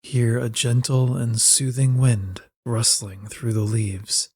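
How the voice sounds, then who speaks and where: A man leads a guided relaxation at a very slow, unhurried pace. His tone stays soft and even, with little rise or fall, and has a dark, mellow color. The phrasing is gentle and soothing, like careful instructions for settling down. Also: medium pitch, husky, dry recording, studio quality. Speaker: guided meditation narrator.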